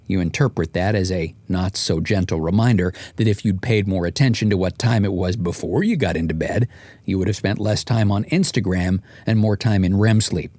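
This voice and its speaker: A man's voice: on the low side, mellow, no echo. A man reading a scripted audiobook as its author, telling it in a wry, comic way with exasperated emphasis. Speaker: author and podcast host narrating.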